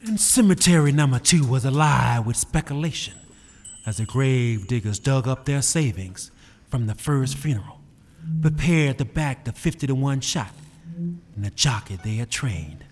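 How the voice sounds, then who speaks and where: A man's voice: mid-range voice, expressive, moderate pace. A man narrating an old-time radio drama in a folksy storytelling manner. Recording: old radio recording with music behind.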